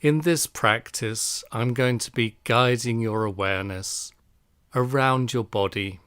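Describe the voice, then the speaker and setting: Slow, smooth-voiced man guiding meditation. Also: mid-range voice, no room sound, clean studio sound. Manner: calm, soothing, unhurried with long pauses. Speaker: meditation guide. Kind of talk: guided relaxation meditation.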